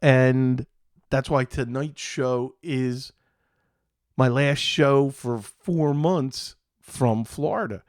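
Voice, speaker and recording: A man hosting a tech show, talking casually and unscripted as an IT technician, often pausing mid-sentence and repeating phrases. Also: mid-range voice, no room sound, studio quality. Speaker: IT support technician.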